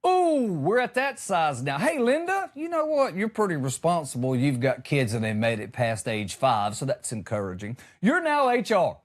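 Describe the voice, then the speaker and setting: A man with a fairly high voice delivers a comic monologue. His pitch moves up and down a great deal as he makes each point. He sounds exasperated and sarcastic, leaning hard on his words like a podcast host on a rant. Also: wildly swooping pitch, moderate pace, hardly any pauses.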